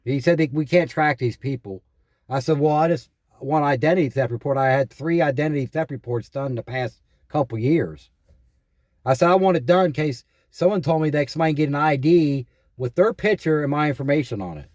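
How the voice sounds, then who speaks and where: Male caller, slightly high-pitched and dry-sounding, complaining conversationally and retelling exchanges with some exasperation. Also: fairly quick, darkish tone, no echo. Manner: repeats himself, quotes past exchanges, exasperated complaining. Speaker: caller reporting identity theft and scam calls. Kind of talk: casual phone conversation, telling anecdotes.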